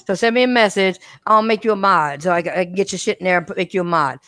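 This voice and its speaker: A woman's voice: medium pitch, quick pace, pushed and bright, no room sound, studio quality. A woman hosting a casual gaming podcast, talking emphatically and repeating her points. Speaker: podcast host.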